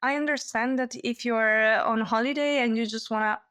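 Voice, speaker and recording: A woman's voice: a little high, fairly even intonation, normal speed, a bit bright, clean tone. Woman, laughing a lot. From Switzerland. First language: Italian. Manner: laughs often between sentences, cheerful. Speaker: guest, technical diver. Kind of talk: casual podcast interview.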